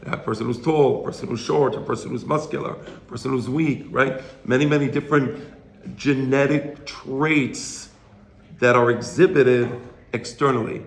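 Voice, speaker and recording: A slightly hoarse man giving an informal religious lecture to a study group, explaining and checking in with rhetorical questions. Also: medium pitch, steady tone, moderate pace. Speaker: Torah teacher. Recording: roomy echo, faint background noise.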